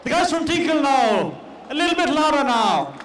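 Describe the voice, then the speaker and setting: A man's voice: very high-pitched, big pitch swings, normal speed, fairly bright tone, some chatter behind. Male announcer in an echoey venue. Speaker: event announcer. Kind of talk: stage announcement rousing a live audience. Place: comic convention event. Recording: echoey venue, distant, faint noise.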